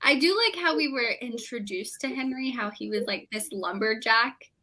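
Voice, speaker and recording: Woman, higher-pitched, bright, emphatic, expressive, casual book discussion. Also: moderate pace. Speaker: book club panelist.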